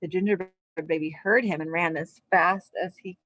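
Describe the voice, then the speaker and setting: A woman's voice: fairly low pitch, steady tone, normal speed, fairly bright tone, no echo. A woman speaking as a children's teacher, reading a storybook aloud and giving simple, encouraging instructions with check-in questions.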